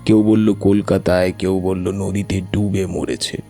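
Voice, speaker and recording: A man acts out a grave, reticent older village uncle in an audio story, recalling old secrets. The voice is deep and dark, dragging haltingly, with music underneath. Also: flat delivery. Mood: sombre.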